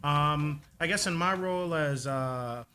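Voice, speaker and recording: A man's voice: a little high, a little slow, pushed and bright, clean tone, soft background music. A man in his thirties, from Trinidad, speaking as a guest organizer in a casual radio interview, with many "like" and "um" fillers.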